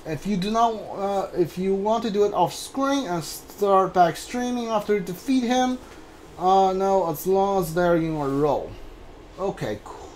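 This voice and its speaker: Male streamer, high voice, casual and hesitant delivery, circles back over his words while chatting to viewers. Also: a little mellow, slight background noise, poor audio. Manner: hesitant, halting, repeats himself. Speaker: game streamer. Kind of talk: casual live gameplay stream chat.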